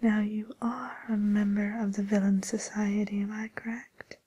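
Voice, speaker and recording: A woman playing a polite, attentive receptionist at a fairy-tale dating agency in a soft, breathy, hesitant whisper, as an ASMR roleplay. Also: medium pitch, little pitch movement, normal speed, highs cut off, lightly acted.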